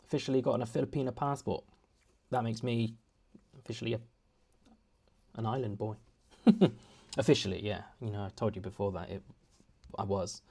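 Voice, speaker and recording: A man's voice: on the low side, moderate pace, gravelly, pauses often, dark tone, slightly rough. A young man chatting at home in a casual vlog, rambling and self-deprecating with many restarts. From United Kingdom. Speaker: vlogger. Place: home, indoors.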